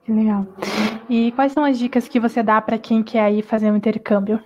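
A woman asks her questions steadily in a somewhat high voice. Her tone is mellow and quite flat, with little rise and fall. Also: a little fast, muffled recording. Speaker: interviewer. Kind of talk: interview questions.

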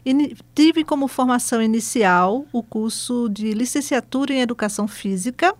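A woman's voice: a little high, dry recording. A woman from Recife with a Pernambuco accent, a course coordinator explaining her field in a lecturing way, often adding "né?". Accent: Pernambuco Portuguese. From Recife, Brazil. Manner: measured, lecturing, frequent "né?" tags. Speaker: guest, university course coordinator. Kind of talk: explanatory interview answers.

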